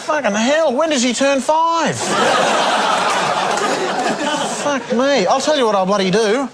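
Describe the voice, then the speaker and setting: An actor playing a crude, cocky Australian criminal doing a blunt, deadpan comic auction monologue. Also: male voice, extremely high pitch, moderate pace, a loud crowd. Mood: scornful. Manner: blunt, foul-mouthed, deadpan drawl. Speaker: sketch performer as auctioneer. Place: stage with podium and red curtains. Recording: laughing audience, clear background noise.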